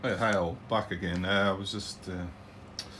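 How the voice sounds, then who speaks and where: Middle-aged Scottish man, lowish voice, home-desk wargame host, rambling and hesitant. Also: fairly even intonation, unhurried, a bit bright, slight background noise. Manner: rambling, hesitant, lots of ums and restarts. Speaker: board wargame channel host. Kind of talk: casual commentary on a solo board game playthrough.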